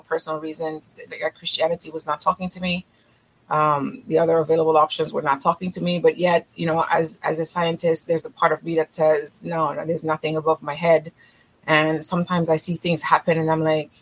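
A middle-aged woman from Haiti, a physician talking as a podcast guest in energetic, emphatic bursts that often restart mid-phrase. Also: sits low, rough recording. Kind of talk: podcast interview.

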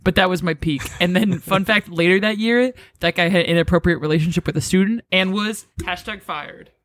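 Female podcast co-host, low voice, playful banter, dry close mic. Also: no echo. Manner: playful, laughs often, quick asides. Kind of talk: casual chat.

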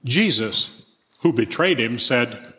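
A man's voice: medium pitch, lively intonation, slow, noisy background. A man giving a scripture reading as narrator in a church. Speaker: scripture reader, church member. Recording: echoey church hall, long reverb.

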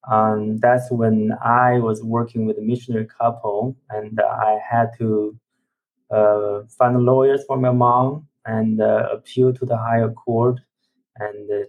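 A man from China, a ministry executive, giving an earnest personal testimony on a remote call, often pausing to search for words. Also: mid-range voice, a little mellow, low-quality recording.

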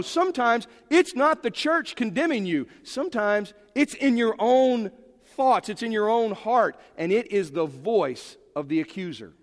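High-voiced male pastor, lively emphatic preaching, long church echo. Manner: animated, emphatic preaching with sudden drops to quiet. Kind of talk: sermon. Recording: long hall reverb.

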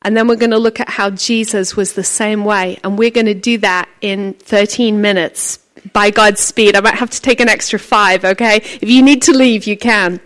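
A woman with a loud voice giving a chatty, storytelling talk as a speaker at a faith conference. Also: mid-range voice, normal speed. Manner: chatty, animated storytelling with acted quotes. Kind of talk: conference sermon.

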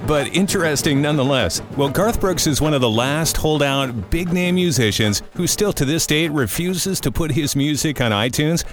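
A man's voice: a little high, expressive, moderate pace, few pauses. A man hosting in a casual morning-radio style, conversational and fond of tossing in little tag questions. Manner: conversational, peppered with 'you know' and rhetorical tags. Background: music bed.

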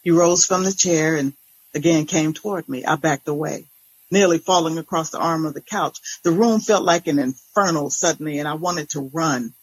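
A woman narrator giving an expressive, deliberate first-person reading of a dramatic story. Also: low-pitched, slightly rough, low-quality recording.

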